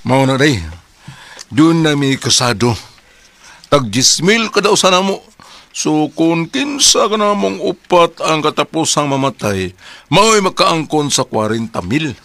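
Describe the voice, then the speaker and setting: A man's voice: on the high side, animated, a little slow, a bit bright. A man playing an anxious, stubborn husband in a comedy drama, exclaiming animatedly with a Cebuano accent. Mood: matter-of-fact.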